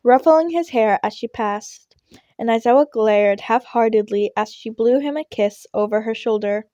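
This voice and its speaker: Woman reading fanfic aloud, highish voice, real dry close sound. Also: moderate pace, mellow, no room sound, highs cut off. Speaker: amateur podfic narrator. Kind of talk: scripted story narration.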